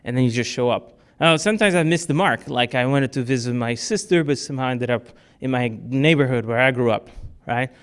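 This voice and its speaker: A man's voice: mid-range voice, a little fast, hardly any pauses, clear, resonant tone. A man from Portugal giving a talk to a club audience, answering questions in a casual way with many fillers and restarts. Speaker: speaker. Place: club meeting room.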